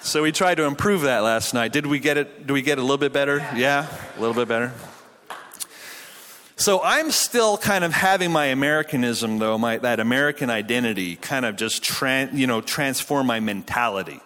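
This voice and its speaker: A man's voice: medium pitch, expressive, normal speed, a bit bright, slight background noise. A man with a Canadian English accent, a pastor giving a conversational, informal sermon with a joking, self-deprecating manner. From Quebec, Canada. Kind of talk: conversational sermon to a live audience. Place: large hall, speaking to an audience. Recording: long hall reverb.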